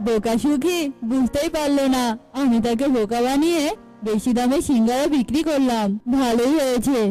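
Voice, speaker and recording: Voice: high-pitched, moderate pace, mellow, faint music behind, close to an everyday voice, poor audio. A performer voicing a greedy, rude crow shopkeeper in a children's cartoon, haggling and scolding. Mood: gloating.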